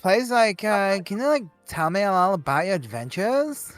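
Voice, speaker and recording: A man's voice: high-pitched, big pitch swings, moderate pace, no echo, faint music behind. Man putting on a coy, smitten teenage girl. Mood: coy pleading. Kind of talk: improvised comedic fan dub.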